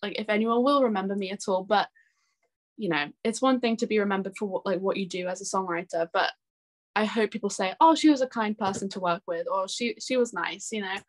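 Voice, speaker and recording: A woman in her twenties speaking as an interview guest in a casual, chatty and expressive way. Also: medium pitch, brisk. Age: 20s. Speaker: interview guest, singer-songwriter.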